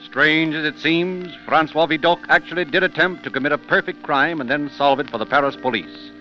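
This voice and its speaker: A man's voice: a little high, fairly quick, bright, forceful tone. A man narrating a scripted 1930s radio show in a dramatic, portentous manner. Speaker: narrator, host. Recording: muffled old 1930s radio recording. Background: music.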